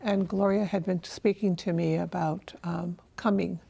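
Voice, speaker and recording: An older woman from El Salvador, raised in San Francisco, answers interview questions in an unhurried, halting way. Her low, dark voice is heavily gravelly and a little husky. She often stops to restart her sentences. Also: steady tone. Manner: thoughtful, halting, many fillers and restarts. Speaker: interviewee, religious sister. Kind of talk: oral history interview.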